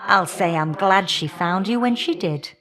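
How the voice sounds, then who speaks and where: A woman's voice: medium pitch, measured pace, fairly bright tone, natural voice. A woman voicing a feisty, folksy elder goddess of flame in a video game, sassy and affectionately teasing. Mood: concerned, musing. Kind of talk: video game character dialogue.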